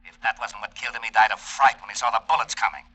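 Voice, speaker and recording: An actor playing an irritable male police lieutenant in a 1950s radio drama, gruff and sarcastic. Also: lowish voice, fairly even intonation, rapid-fire, sharp tone.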